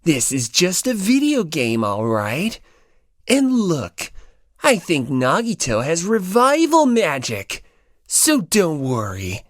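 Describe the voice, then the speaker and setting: A woman voicing casual high school boys, teasing or awkward, in an audiobook. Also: huge pitch swings, takes it slowly, dry recording, clean studio sound, full character voice. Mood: casual, hesitant. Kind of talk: audiobook character acting.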